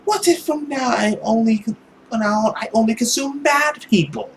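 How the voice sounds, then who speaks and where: A woman voicing a suave male villain who preys on people, with a theatrical, condescending manner and drawn-out words. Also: on the high side, a little hiss or hum, voice pushed up, lightly acted. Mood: mocking.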